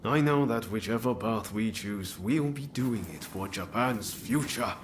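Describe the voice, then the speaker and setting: An actor playing an earnest, idealistic samurai in a video game, sincere and easygoing. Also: male voice, fairly low pitch, measured pace, slight background noise, natural voice. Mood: reflective. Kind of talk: video game cutscene acting.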